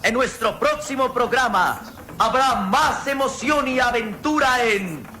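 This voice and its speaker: A radio serial announcer with a theatrical, declamatory, drawn-out delivery. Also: mid-range voice, noisy background.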